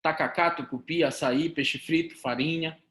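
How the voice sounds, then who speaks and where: Animated Paraense law lecturer, roomy echo. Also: male voice, on the high side, normal speed. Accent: Paraense Brazilian Portuguese. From Pará, Brazil. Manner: animated, talks to students directly, rhetorical questions. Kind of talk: recorded law lesson.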